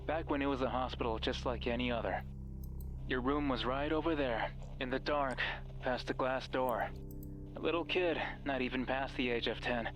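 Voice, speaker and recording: A man playing a mysterious, controlling horror game guide with a measured, ominous and coaxing delivery. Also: medium pitch, a bit bright, faint music behind, lightly acted, poor audio. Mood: haunting. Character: mysterious controlling male radio voice guiding a listener, sinister. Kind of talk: horror visual novel voice acting.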